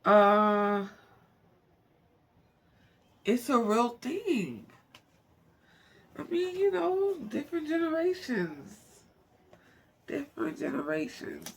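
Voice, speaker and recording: Woman reading out loud, kinda creaky voice, trips on words n talks to herself, bit of background noise. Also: high-pitched, big pitch swings, takes it slowly, pauses often. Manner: informal, musing to self, stumbles over words. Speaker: amateur book narrator. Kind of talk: reading aloud with off-the-cuff chatty asides.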